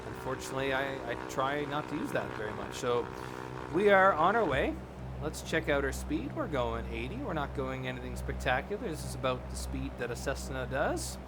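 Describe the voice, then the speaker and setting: A man's voice: mid-range voice, big pitch swings, normal speed, background noise. A man giving a casual, informal live stream tutorial, explaining things step by step to his viewers. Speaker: live streamer, flight simulator host.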